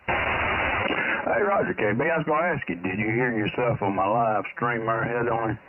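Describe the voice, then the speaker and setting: A man's voice: fairly low pitch, expressive, moderate pace. Tinny, static-filled radio voice of man. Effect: radio transmission. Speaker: amateur radio operator calling in. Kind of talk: casual radio chat. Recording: narrow, static-filled ham radio signal.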